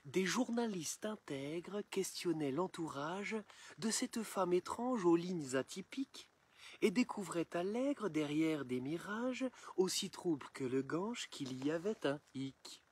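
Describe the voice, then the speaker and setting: A man reciting satirical rhymed verse in a theatrical, measured, declamatory storytelling manner. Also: high voice, expressive, breathy edge. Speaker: poem reciter.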